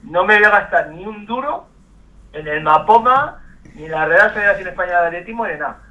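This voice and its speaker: A man's voice: high voice, fairly quick, pushed and bright. A man from Spain on a telephone call, arguing in a defiant, insistent and repetitive way. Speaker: amateur runner receiving a prank call. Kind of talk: heated phone argument. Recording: dull lo-fi phone call.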